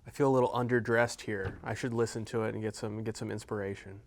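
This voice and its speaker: A man's voice: lowish voice, fairly even intonation, fast, no echo. A man hosting an interview in a studio, asking easygoing questions and giving brief backchannel replies.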